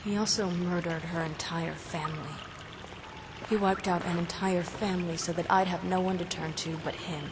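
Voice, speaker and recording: An actress playing a tough, sarcastic half-vampire heroine with a dry delivery. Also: female voice, fairly low pitch, fairly even intonation, normal speed, noisy background, lightly acted. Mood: grim.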